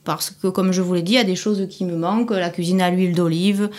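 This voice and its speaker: A woman from Corsica with a southern French Midi accent, answering interview questions in a measured, thoughtful way. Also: fairly low pitch, fairly even intonation, a little mellow. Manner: measured, thoughtful, explaining at length. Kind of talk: unscripted interview answers for a language course.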